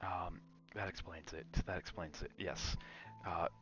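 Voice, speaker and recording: Deep-voiced man streaming, slow and low. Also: fairly even intonation, muffled recording, over music. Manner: rambling, drifting between chat and game reactions. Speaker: game streamer. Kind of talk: casual ASMR gaming livestream chat.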